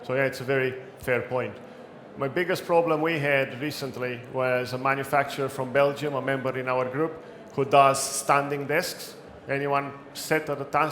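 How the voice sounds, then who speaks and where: A man with a non-native English accent giving a brisk, practical conference talk, with light jokes. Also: medium pitch, steady tone, a bit bright. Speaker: conference speaker. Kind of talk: talk with audience questions. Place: event hall. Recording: echoey room, faint background noise.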